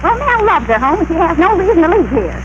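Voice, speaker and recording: A woman's voice: very high-pitched, sing-song, fast. A woman playing a long-suffering farm wife and mother in a 1930s radio comedy sketch, with dry comic comebacks on a muffled broadcast with hiss.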